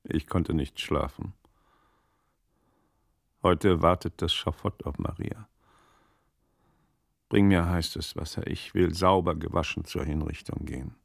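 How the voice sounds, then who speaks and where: An actor playing a troubled, learned magistrate in a German radio play, gravelly, with measured, brooding, literary speech. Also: male voice, low voice, fairly even intonation, no echo, clean studio sound, heavily acted. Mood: brooding, weary.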